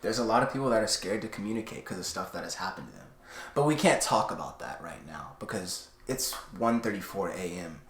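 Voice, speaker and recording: A man's voice: fairly low pitch, expressive, brisk, fairly bright tone. Young man, podcast co-host, casual rambling, filler-heavy, roomy echo. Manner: rambling, many fillers, shifts his voice. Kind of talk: casual late-night chat.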